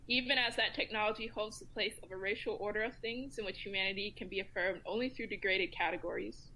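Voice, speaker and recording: Bright-voiced woman, podcast guest. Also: medium pitch, rough recording. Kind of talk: casual round table discussion, some reading aloud. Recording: faint room noise behind voice.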